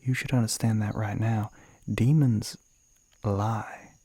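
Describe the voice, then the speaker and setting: A man playing a suave, flirty male demon in an ASMR roleplay, with a Southern American accent, a breathy, gravelly voice that often drops to a whisper, and a teasing, coaxing drawl. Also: fairly low pitch, fairly even intonation, takes it slowly, warm tone, no room sound. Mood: candid, sly.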